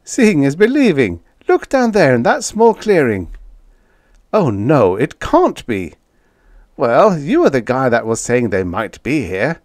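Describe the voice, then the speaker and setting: A man performs an excited space explorer in a science fiction audiobook. He is loud and exclamatory, pitched well up, with swooping, animated delivery. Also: measured pace, no echo, clean studio sound. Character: excited male space explorers sighting alien humans.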